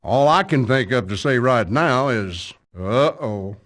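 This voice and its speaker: Slow-drawling Southern storyteller. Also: male voice, medium pitch, expressive, heavy background noise. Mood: wry. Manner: drawling, wry. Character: folksy, wry country storyteller narrating a racing tale. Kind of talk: folksy scripted narration.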